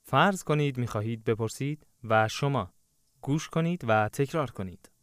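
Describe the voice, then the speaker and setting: A man narrating a language lesson, giving clear, instructive prompts in a scripted style. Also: mid-range voice, rapid-fire, no echo, clean studio sound.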